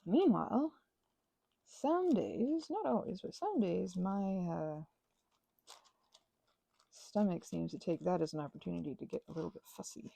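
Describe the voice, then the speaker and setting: Very creaky, low-voiced woman rambling casually. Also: expressive, measured pace, pauses often, warm tone, no echo. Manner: meandering, self-deprecating, trailing off mid-thought. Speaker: host of a craft ramble and ASMR channel. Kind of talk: rambling casual ASMR craft chat.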